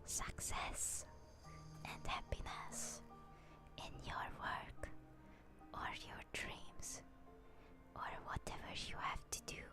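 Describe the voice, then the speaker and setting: Slow whispering young woman. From Hungary. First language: Hungarian. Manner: whispered, soft and slow, chatting with viewers. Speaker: VTuber streamer. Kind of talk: ASMR stream chat. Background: music.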